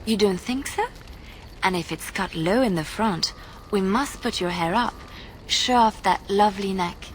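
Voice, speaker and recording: A woman's voice: mid-range voice, a little slow, slight background noise, lightly acted, rough recording. Sweet young woman adventurer by an actress. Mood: eager coaxing. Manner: gushing, dreamy, coaxing. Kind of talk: fantasy video game voice acting.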